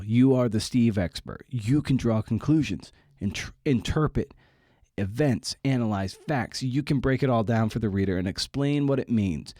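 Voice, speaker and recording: A man's voice: lowish voice, mellow, no room sound, clean studio sound. A man hosting a podcast, talking informally in a candid, self-deprecating way. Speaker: podcast host.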